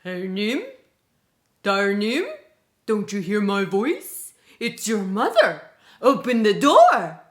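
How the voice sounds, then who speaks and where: A woman voicing a fierce, hungry and cunning male tiger in a folktale, loud and demanding. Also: medium pitch, sing-song. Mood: coaxing, deceitful. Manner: loud, demanding, drawn-out exclamations.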